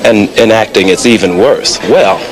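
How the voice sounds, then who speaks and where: A man's voice: lowish voice, normal speed, crowd noise. A man, an actor, giving reflective answers in an interview.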